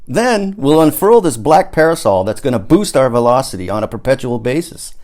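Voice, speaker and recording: A man voicing an excitable, conspiracy-minded amateur rocket schemer in audiobook dialogue, explaining things emphatically. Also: mid-range voice, fairly quick, lightly acted. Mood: excited. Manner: emphatic, explanatory, catchphrase-prone.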